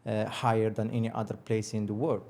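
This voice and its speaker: A man's voice: lowish voice, flat delivery, a little fast, a little mellow. A man from Morocco with a Moroccan accent, hosting a casual business interview in non-native phrasing and rewording his questions as he goes. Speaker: podcast host, interviewer.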